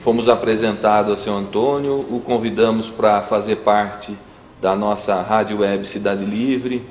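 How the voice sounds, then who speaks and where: Brazilian male spokesman, lowish monotone, formal and hesitant, roomy recording. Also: a little slow. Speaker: community broadcasting representative. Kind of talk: spoken testimonial. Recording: echoey room, faint noise.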